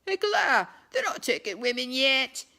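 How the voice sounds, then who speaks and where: Woman playing an exuberant, loud young gentleman, raised pitch. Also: sing-song, unhurried, heavily acted. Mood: boisterous. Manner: loud, emphatic declaiming. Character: exuberant tipsy young English gentleman eager to enlist.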